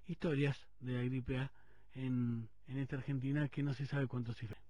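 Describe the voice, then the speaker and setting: A man's voice: medium pitch, flat delivery, normal speed, warm tone, recorded from a distance. A man with a Rioplatense accent hosting a morning radio show, interviewing a caller in a joking, teasing way. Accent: Rioplatense Spanish. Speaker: radio host. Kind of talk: casual phone interview. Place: radio studio.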